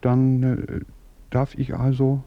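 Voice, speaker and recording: An actor playing a tender aristocratic baron who speaks intimately and softly in a radio play. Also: male voice, slow pace, vocal fry, no room sound, phone-quality sound. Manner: intimate, soft-spoken, lively pitch.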